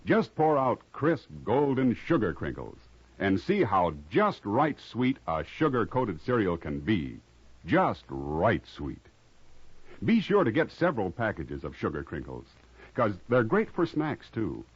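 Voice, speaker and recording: An American man works as a 1950s radio announcer, with a fairly low voice and very animated intonation. His tone is a little rough, and the vintage broadcast sounds dulled, with some music behind. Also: measured pace, no room sound, highs cut off.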